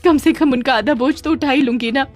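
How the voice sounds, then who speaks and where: A woman's voice: high-pitched, fairly quick, faint music behind, pitched above the performer's own voice, lightly acted. A woman voicing a young cow, a devoted daughter, speaking with earnest, emotional urgency in a cartoon story. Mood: pleading. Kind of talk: Hindi cartoon story voice acting.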